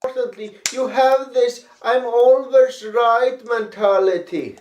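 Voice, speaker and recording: A man's voice: extremely high pitch, slow, a little mellow, echoey room. A man reading a prepared critique in a blunt, profane, lecturing manner. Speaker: video critic. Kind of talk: reading a prepared response video.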